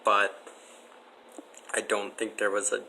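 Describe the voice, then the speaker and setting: A man as a podcast guest in a casual debate, skeptical and argumentative, with halting delivery. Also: on the low side, monotone, vocal fry, sharp tone, slight background noise, low-quality recording.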